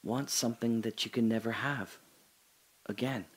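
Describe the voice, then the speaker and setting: An actor in an audio drama playing a rambling detained man, bitter and sardonic, who swings from hushed musing to indignant rants. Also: mid-range voice, steady tone, moderate pace, lightly acted. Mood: wistful.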